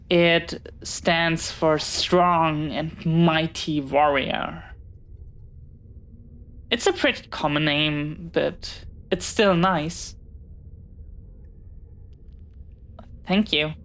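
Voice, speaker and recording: Slow-paced, lowish-voiced young pirate girl played by a woman. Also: a bit bright, full character voice. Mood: friendly, eager. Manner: hesitant, polite, earnest, chatty. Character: friendly, bookish, talkative young female pirate, shy and apologetic. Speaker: voice actor in an audio roleplay. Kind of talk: intimate scripted audio roleplay.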